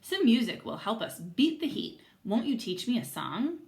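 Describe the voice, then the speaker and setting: A woman's voice: fairly high pitch, big pitch swings, moderate pace, a little mellow. A woman voicing a sly, bossy male trickster dog from a children's storybook, coaxing and scheming.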